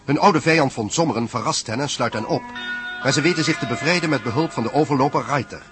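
A man's voice: mid-range voice, quick pace. A man narrating a scripted recap for a radio drama serial. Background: music.

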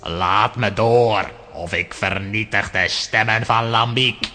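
An actor in a children's audio drama playing a scheming, pompous court astrologer with a solemn, theatrical, formal manner. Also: male voice, fairly low pitch, slow, bright, forceful tone, big-room sound, faint music behind. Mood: alarmed, threatening.

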